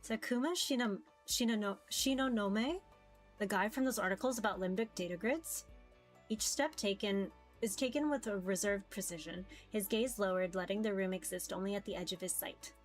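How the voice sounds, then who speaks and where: A woman's voice: medium pitch, moderate pace, soft background music. A woman streamer reading a visual novel aloud in a casual live style, often stumbling over words and teasing herself.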